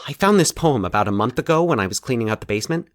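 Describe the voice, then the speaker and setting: A man acting a troubled, anxious man in a fiction podcast, confiding his nightmares haltingly and with dramatic pauses. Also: medium pitch, lively intonation, no echo, close to an everyday voice. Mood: uneasy. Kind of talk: dramatic audio fiction monologue.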